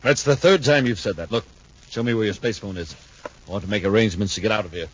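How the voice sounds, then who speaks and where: An actor playing a nervous, impatient criminal with a husky voice in a 1950s radio drama. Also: male voice, lowish voice, quick pace. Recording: muffled 1950s radio recording.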